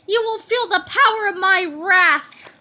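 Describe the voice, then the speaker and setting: A bratty little boy villain who proclaims his greatness in slow, pompous tones, as a woman puts him on. The voice is very high and bright, swelling with self-importance. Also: full character voice.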